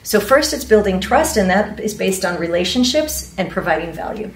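A woman's voice: medium pitch, sing-song, normal speed. Earnest woman in an echoey meeting room. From Ecuador. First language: Spanish. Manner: earnest, gestures while explaining. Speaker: real estate agent, guest speaker. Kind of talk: panel interview. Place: meeting room in front of a large screen. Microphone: far camera mic. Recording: echoey room from far off, faint noise.

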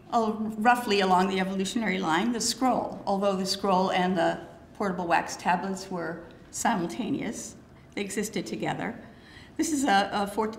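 A woman in her sixties with a gravelly, hoarse voice, giving a prepared lecture as a curator, speaking into a podium microphone with some fillers and pauses. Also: mid-range voice, slight background noise. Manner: measured, slightly halting delivery with fillers. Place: lecture hall with wood paneling. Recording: roomy hall, faint ambience.

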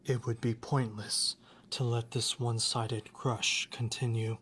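An actor playing a reserved, lovesick young classmate in an ASMR roleplay, speaking in a soft, hesitant, formal way, often near a whisper. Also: medium pitch, level tone, a little slow, slight background noise, close to an everyday voice. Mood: resigned, restrained.

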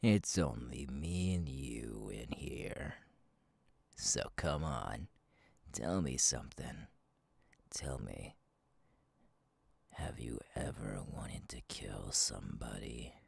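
A man playing a menacing, taunting masked slasher killer in an ASMR roleplay, gravelly and partly whispered, speaking slowly and deliberately close to the mic. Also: rumbling low, expressive, no echo, exaggerated voice. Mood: coaxing, sinister. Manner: slow, deliberate, taunting, drawn-out pauses. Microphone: close microphone.

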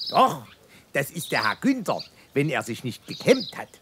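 An actor playing a cheeky, defiant male earthworm in a children's cartoon, with a gravelly voice. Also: medium pitch, wildly swooping pitch, normal speed. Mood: teasing. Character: cheeky grumpy male earthworm, eager wisecracker. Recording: birdsong noise throughout.

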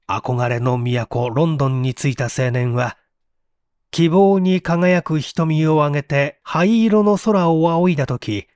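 A man reading literary fiction as a dramatic narrator, in a measured, solemn way. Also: on the high side, a little mellow, no room sound, clean studio sound. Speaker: narrator, stage actor.

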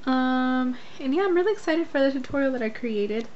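Young American woman, high-pitched, chatting casually. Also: lively intonation, a little fast, a little mellow, faint music behind. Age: young adult. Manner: informal, rambling, frequent "like". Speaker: vlogger. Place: small rooms at home, closet and bedroom. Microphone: handheld camera at arm's length. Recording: dull, noisy handheld camera audio.

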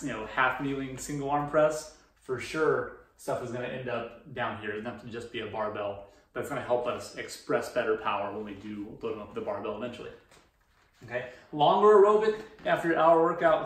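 Echoey, distant-sounding man coaching. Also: medium pitch. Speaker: fitness coach presenting a training programme. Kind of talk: informal instructional presentation. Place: indoor room beside a presentation screen. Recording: roomy echo, distant microphone.

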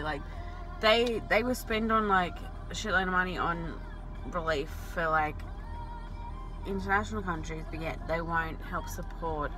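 Slow rambling Australian woman. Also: low-pitched, rough recording. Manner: rambling, heavy fillers, casual swearing. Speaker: vlogger. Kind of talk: casual chat while driving. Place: inside a car. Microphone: dashboard camera.

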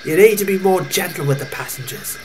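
A man voicing a gentle, patient female tank engine in a children's story, speaking softly and a little hesitantly. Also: high voice, expressive, a little fast, noisy background, voice pushed up. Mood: gentle, earnest. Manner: soft, hesitant, sighing. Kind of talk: children's storybook character acting.

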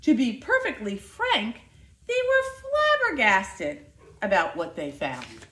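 Woman, middle-aged, children's read-aloud storyteller. Unhurried, expressive delivery with emphasized words, roomy home recording. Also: a little high. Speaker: school nurse reading to children. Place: indoor living room by a fireplace. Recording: echoey living room.

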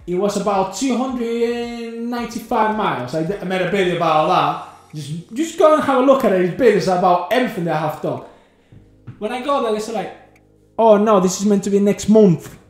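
High-voiced trucker man, animated chat. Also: fairly quick. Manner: animated, talks in bursts, acts out dialogue. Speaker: trucker and channel host. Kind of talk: casual chat. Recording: echoey room with faint music.

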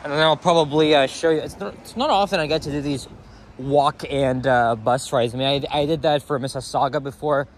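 Higher-voiced young guy, street noise. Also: steady tone, a little fast. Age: young adult. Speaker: vlogger. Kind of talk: casual walking vlog. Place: outdoors on a city sidewalk. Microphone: handheld camera. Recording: outdoor street traffic noise. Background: cars and street ambience.

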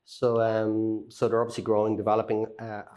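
A man's voice: on the low side, completely flat delivery, a little fast, darkish tone. A middle-aged man as a guest in a studio chat, talking casually with lots of fillers and repeated words, on a lapel mic. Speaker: guest HR consultant. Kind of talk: casual chat on business news. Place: indoor studio with brick wall.

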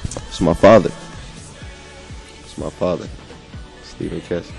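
A young man from the United States giving relaxed, easy interview answers into a handheld mic indoors. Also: sits low, measured pace, creaky, faint music behind. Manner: laid-back, laughs easily, warm-hearted talk. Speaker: interviewee, coach's son. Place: indoor room. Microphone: handheld mic held in front.

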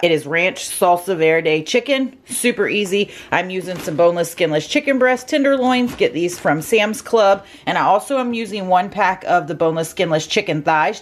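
A young woman with an upbeat, chatty voice-over, walking through her weekly meal prep in a home kitchen. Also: on the low side. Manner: upbeat, chatty, lists macros and steps. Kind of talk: casual cooking voice-over.

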